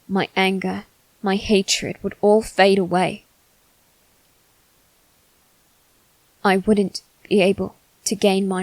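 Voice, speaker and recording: A haughty, brooding young aristocrat, voiced by a woman in an ASMR roleplay drama. The delivery is slow and formal, with weighty pauses between phrases. The sound is dry and close, with no room around it. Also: medium pitch, darkish tone, dry recording, poor audio. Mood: brooding, confessional. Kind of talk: dramatic ASMR roleplay monologue addressed to listener.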